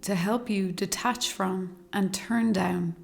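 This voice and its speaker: A woman leading a guided meditation, speaking slowly and calmly in a soothing, measured way with long pauses. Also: medium pitch, gravelly, slight background noise. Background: meditation music with singing bowls.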